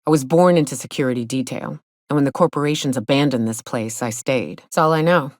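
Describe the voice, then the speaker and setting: A gruff female monster hunter from a video game, pitched low and recorded very dry. She drawls in a folksy, sardonic way, like a hard-drinking trail guide who has seen plenty. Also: brisk, a little mellow, dry recording. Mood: reflective. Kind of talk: video game character dialogue.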